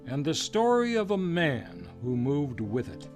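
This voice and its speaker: Male announcer, higher voice, scripted old-time radio show introductions with music behind. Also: sing-song, measured pace, a little mellow.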